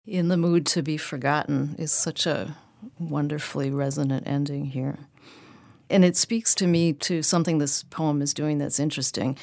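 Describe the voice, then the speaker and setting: A woman's voice: sits low, creaky, darkish tone, no room sound, studio quality. A woman discussing literature in a reflective, articulate way, feeling out her phrases as she goes. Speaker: poetry magazine editor, podcast panelist.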